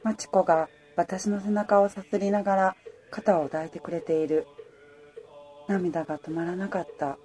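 A woman playing the wistful heroine of a radio romance, a female apparel worker narrating her own love story in a soft, reflective way. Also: fairly low pitch, fairly even intonation, moderate pace, close to an everyday voice. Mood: wistful, grieving. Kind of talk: radio drama narration and dialogue.

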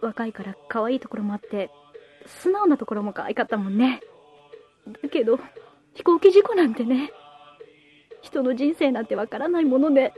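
Woman acting husky, consoling best friend. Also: sits high, lively intonation, normal speed, long pauses, muffled recording, faint music behind. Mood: sympathetic, saddened. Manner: gentle, consoling. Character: heroine's sympathetic, comforting female friend. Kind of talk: radio drama dialogue.

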